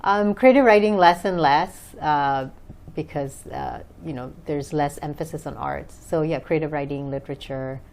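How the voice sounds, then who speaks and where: A woman's voice: low voice, normal speed, gravelly, darkish tone, slight background noise. A woman from Bangladesh answering conversationally as an interview guest, hesitant as she searches for words. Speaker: interview guest, author and English teacher.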